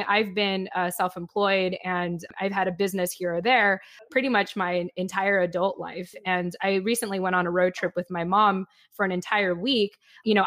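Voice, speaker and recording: A woman host talks reflectively at a mid pitch, circling her thoughts with small fillers and restarts. The recording is dry and close. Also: fairly bright tone, no room sound. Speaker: podcast host. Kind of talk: reflective podcast conversation.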